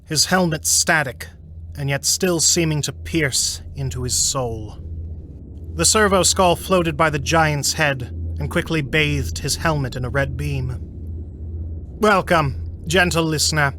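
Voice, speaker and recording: Bright-voiced man narrating slowly and dramatically. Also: fairly high pitch, a little hiss or hum. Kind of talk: dramatic scripted story narration.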